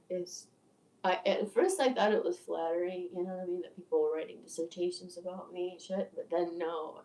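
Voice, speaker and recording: Gravelly, low-pitched woman in her sixties. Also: dark tone. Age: 60s. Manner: stammering restarts, profane, candid. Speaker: video creator. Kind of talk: rambling livestream monologue. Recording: echoey room, distant from mic.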